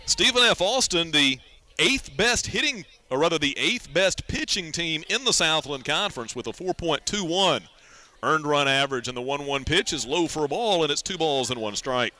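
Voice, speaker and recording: A man's voice: a little high, expressive, moderate pace, sharp tone. A man doing live radio baseball play-by-play, describing the action and chatting conversationally.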